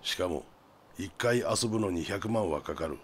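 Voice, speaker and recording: A man playing a worldly middle-aged underworld insider in a game cutscene, explaining things in knowing, rough colloquial speech. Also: deep voice, lively intonation, normal speed, a little hiss or hum. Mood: knowing, sly. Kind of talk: Japanese video game cutscene acting.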